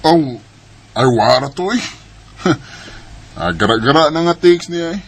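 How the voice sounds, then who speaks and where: A man's voice: fairly high pitch, animated, unhurried, slightly rough. A man with a Cebuano Bisaya accent playing an evasive, irritable, defensive husband in a radio drama. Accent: Cebuano Bisaya Filipino.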